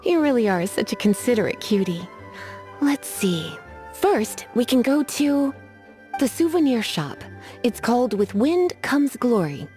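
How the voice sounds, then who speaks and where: A woman's voice: a little high, sing-song, unhurried, a little mellow. A woman voicing a languid, teasing female librarian-sorceress in a video game, drawling her lines and sighing wearily. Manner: drawling, sultry, weary sighs. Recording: game music underneath.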